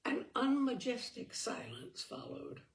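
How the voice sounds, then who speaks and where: A woman reading a literary story aloud as narrator, expressively, with theatrical, deliberate phrasing and dramatic pauses. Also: a little high, sing-song, a little hiss or hum, poor audio. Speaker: audiobook reader and storyteller.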